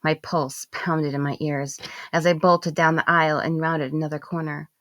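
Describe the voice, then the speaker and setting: A woman reading scripted first-person literary narration in a measured, expressive way, with phrases falling away softly. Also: low voice, dry recording. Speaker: audiobook narrator and author.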